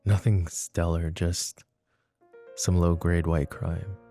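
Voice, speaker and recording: A man voicing a possessive, flirtatious mafia boss in an ASMR roleplay drama, with a low, soft, teasing drawl. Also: little pitch movement, takes it slowly, soft background music. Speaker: voice actor.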